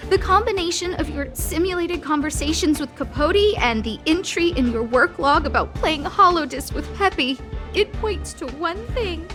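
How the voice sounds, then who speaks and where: A woman's voice: very high voice, animated, normal speed, music in the background. A woman voicing a melodramatic, guilt-stricken young noblewoman and lead researcher in an anime-style video game, theatrical and earnest. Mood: dramatic, distressed. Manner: theatrical, over-earnest, self-accusing.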